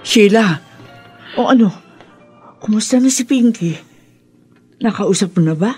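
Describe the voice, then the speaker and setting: A woman's voice: medium pitch, expressive, measured pace, warm tone, soft background music, lightly acted. An actress playing an anxious middle-aged mother burdened by old guilt in a Filipino radio drama, pleading and exclamatory. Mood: anxious, pitying.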